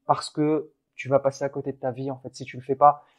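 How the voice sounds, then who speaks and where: A man's voice: mid-range voice, level tone, fast. Earnest encouraging French podcast host man. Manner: earnest, encouraging, many restarts. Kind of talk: casual motivational podcast chat.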